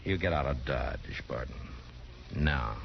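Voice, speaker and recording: An actor playing a steady, protective Old West marshal, terse and firm, with an American accent in a 1950s radio western. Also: male voice, extremely low pitch, measured pace, gravelly, exaggerated voice. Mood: stern, commanding. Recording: muffled vintage radio recording, faint noise.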